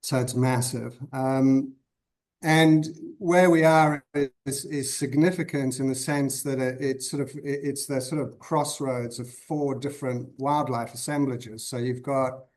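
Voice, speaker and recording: Flat-voiced man from Zimbabwe, in his fifties. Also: mid-range voice, measured pace. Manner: thoughtful, many fillers and restarts. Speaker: guest conservationist. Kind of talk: conversational podcast interview.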